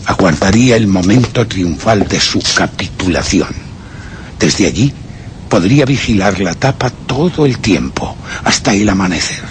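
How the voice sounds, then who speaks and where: A vengeful murderer telling his tale in a radio horror play. His Castilian Spanish is deliberate and menacing, fairly low-pitched yet highly expressive. Clear noise sits behind the recording. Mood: bitter, vengeful. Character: cold, vengeful male murderer recounting his crime. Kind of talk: dramatic first-person radio horror narration.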